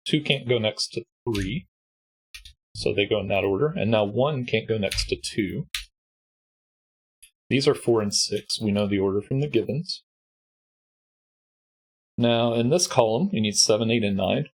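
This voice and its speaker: A man with a congested, husky voice, calmly walking through a sudoku puzzle step by step as host. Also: lowish voice, a little slow, pauses often, poor audio. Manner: patient step-by-step explaining. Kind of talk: sudoku walkthrough.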